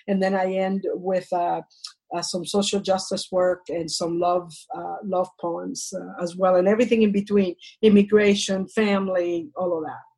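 An older woman from Cuba, a Spanish native speaker, talking as a poet guest and reading her poem aloud in an earnest, measured way. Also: on the low side, little pitch movement, dark tone, husky, low-quality recording. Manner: earnest, measured recitation with repeated refrains. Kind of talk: interview and poetry reading.

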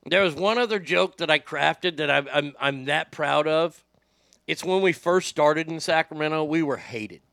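A middle-aged radio host with a high, bright voice and a gravelly edge tells profane stories in a casual, animated way. The sound is close and dry, with no room around it. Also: male voice, moderate pace, no echo. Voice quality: high voice without much bass. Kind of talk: casual storytelling podcast.

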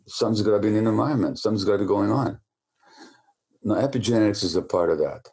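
Deep, mellow-voiced man explaining. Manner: lecturing, repeats words when restarting. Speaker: interview guest. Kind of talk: explanatory interview talk.

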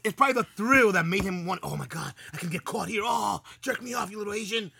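A man's voice: sits high, fast, creaky, sharp tone, breathy edge, no room sound. A man with a New York accent bantering on a podcast, emphatic, argumentative and full of slang and swearing. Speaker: podcast co-host. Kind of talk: casual, profane podcast banter.

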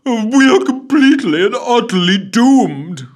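A man's voice: very high-pitched, animated, measured pace, voice pushed up, full character voice. A man doing a whiny melodramatic old lord. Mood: moaning. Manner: moaning, self-pitying.

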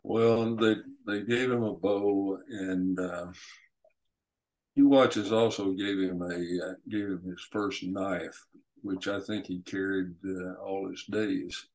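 A man, a guest author, tells a story in an interview. His voice is low and his pace is slow and halting, with frequent pauses and repeated words. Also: fairly even intonation, darkish tone, poor audio. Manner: halting, with many hesitations and repeats.

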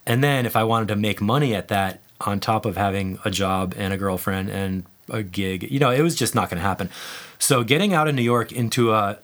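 A man speaking as an interview guest, relaxed and rambling with frequent fillers. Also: fairly low pitch, brisk. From United States. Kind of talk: conversational interview.

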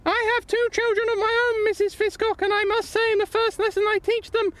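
Woman acting stern female inspector, extremely high voice, prim, clipped, disapproving, audio comedy, dry close sound. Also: level tone, a little fast, fairly bright tone, no room sound. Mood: brisk, judging. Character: stern, fastidious woman child-custody inspector from a military-strict household.

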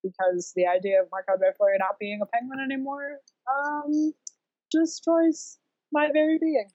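A woman's voice: sits high, fairly even intonation, normal speed, a little mellow, dry recording, muffled recording. A woman co-hosting a podcast, chatting casually about sports in a wry, theatrically emphatic way. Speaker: podcast co-host.

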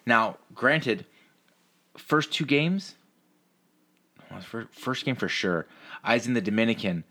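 A man's voice: medium pitch, slow. A man co-hosting a sports podcast, chatting casually and telling stories in a profane way, acting out the dialogue as he goes. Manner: profane, animated storyteller who acts out dialogue. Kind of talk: casual chat, storytelling.

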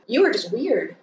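Laughing woman host, far from mic. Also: on the high side. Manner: laughing, joking, supportive. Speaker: podcast host. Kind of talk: casual interview chat. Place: home room with a dog. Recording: roomy, distant, dull sound.

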